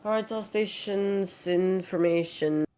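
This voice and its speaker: A player speaking as an air traffic controller in a flight simulation game, in brisk radio phraseology. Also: mid-range voice, darkish tone, smooth.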